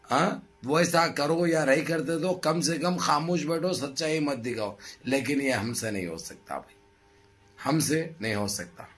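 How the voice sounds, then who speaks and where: Hoarse middle-aged man, emphatic. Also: a little high, unhurried, a bit bright. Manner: emphatic, repetitive, rhetorical phrasing. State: hoarse, sore throat. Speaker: news commentary vlogger. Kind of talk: impassioned political commentary addressed to viewers. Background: background music.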